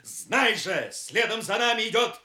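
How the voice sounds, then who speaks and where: A defiant knight of legend, declaiming haughtily. His voice is high and piercingly bright, with a roomy echo around it, in the manner of a staged audio drama. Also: expressive, a little slow, exaggerated voice. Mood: scornful, defiant. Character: defiant, scornful knight envoy of King Arthur. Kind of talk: Soviet audio drama acting.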